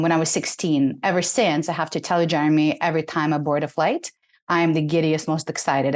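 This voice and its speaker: A woman from Ukraine speaks as an interview guest in a lower register. She tells her story with open feeling. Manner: animated, emotional, laughs while telling. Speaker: podcast guest, entrepreneur.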